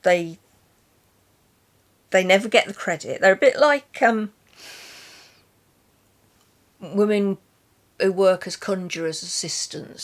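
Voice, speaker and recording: A British woman chatting casually about literature as a host, with a wry, opinionated way of talking. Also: fairly low pitch. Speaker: host of a poetry reading and book chat.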